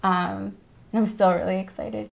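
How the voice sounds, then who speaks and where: A woman's voice: medium pitch, level tone, moderate pace, vocal fry. A young woman, a graduating student, answering interview questions in an upbeat, chatty way. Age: young adult.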